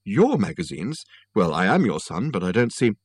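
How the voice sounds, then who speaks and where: A man's voice: on the low side, sing-song, moderate pace, breathy edge, no echo. A man voicing a flustered, indignant, spoilt grown son in an audiobook, spluttering and breaking off mid-sentence. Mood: defensive.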